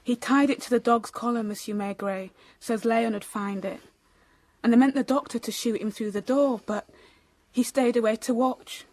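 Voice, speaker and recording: A woman's voice: on the high side, steady tone, normal speed, darkish tone, no room sound, natural voice, rough recording. An actress playing an earnest, wronged young woman in a radio drama, speaking plainly and directly. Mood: earnest confession.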